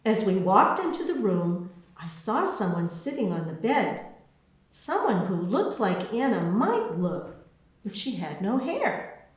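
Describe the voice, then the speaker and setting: Female children's book reader, unhurried and very animated in pitch, heard in a strongly reverberant room. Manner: expressive, deliberate storytelling with spaced phrases. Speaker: author reading her own book. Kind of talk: children's picture book read-aloud. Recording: very echoey room.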